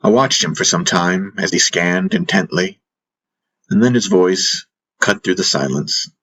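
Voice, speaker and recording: A man's voice: deep voice, a little slow, a bit bright, husky, low-quality recording. A man narrating a fantasy audiobook in the first person, in a solemn, dramatic manner.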